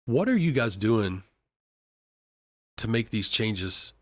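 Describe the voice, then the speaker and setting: Earnest low-voiced man from Kentucky. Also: big pitch swings, takes it slowly, a bit bright, dry recording. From Kentucky, USA. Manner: earnest, repeats words and restarts often. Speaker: company executive vice president. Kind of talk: casual podcast interview. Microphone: studio podcast mic.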